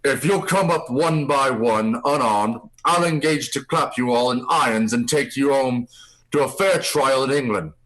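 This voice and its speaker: A forceful, gruff sea captain from a radio play, acted by a man. He declaims slowly and defiantly, weighing each phrase like an order to his crew. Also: medium pitch, close to an everyday voice, low-quality recording. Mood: stern, defiant. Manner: declamatory, deliberate sea-dog talk. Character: gruff, defiant old-time ship's captain.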